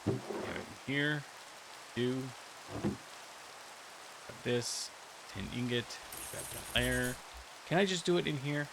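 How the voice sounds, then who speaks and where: Man, casual gameplay talk, rambling, thinks out loud, middle pitch, steady rain-like noise behind. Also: slow, pauses often. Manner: rambling, thinks aloud with many restarts. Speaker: gaming video creator. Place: cold room with a heater.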